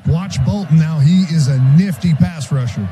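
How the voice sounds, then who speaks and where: A man's voice: on the high side, normal speed, rough recording. An American man giving live football analysis on the radio, explaining plays in a conversational way. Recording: very loud stadium noise behind voice. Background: very loud stadium ambience.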